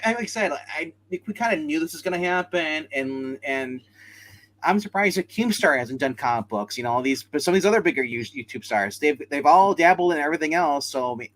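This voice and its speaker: A man's voice: a little high, lively intonation, brisk, fairly bright tone, low-quality recording. A man co-hosting a casual livestream, talking about pop culture in a rambling, informal way. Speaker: livestream co-host.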